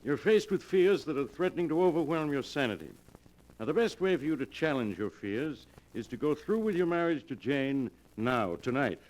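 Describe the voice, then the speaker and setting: An actor playing a calm, authoritative doctor who counsels earnestly, in 1940s radio drama style. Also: male voice, a little high, normal speed, natural voice, poor audio. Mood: urgent, persuasive. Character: calm, probing, authoritative doctor advising a troubled patient.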